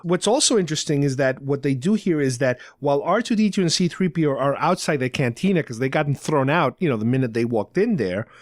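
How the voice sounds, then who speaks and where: A man with a somewhat high-pitched voice hosts a casual fan podcast. He recaps conversationally, dropping in loose paraphrased dialogue. The sound is very dry, with no room on it. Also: lively intonation, fast, few pauses, dry recording. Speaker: podcast host. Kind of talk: casual fan discussion, recapping a radio drama.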